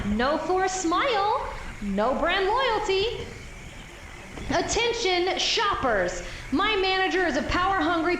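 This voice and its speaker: A sardonic woman, the last employee of a store, in an audio drama. Her high voice delivers mock announcements with bitter sarcasm. It comes over an intercom, very echoey and distant, with faint noise. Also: fairly bright tone. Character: jaded young female superstore employee, lone apocalypse survivor, sardonic.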